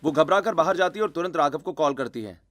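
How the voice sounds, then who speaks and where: Higher-pitched male story narrator over music. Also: animated, fast talker, fairly bright tone. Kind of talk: scripted dramatic story narration.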